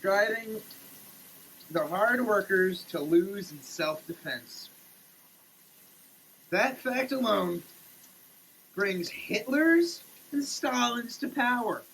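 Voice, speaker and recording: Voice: medium pitch, expressive, takes it slowly, pauses often, a bit bright, slight background noise, rough recording. A person giving a theatrical, declamatory dramatic reading of printed text. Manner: theatrical, declamatory, with muttered asides. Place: shower.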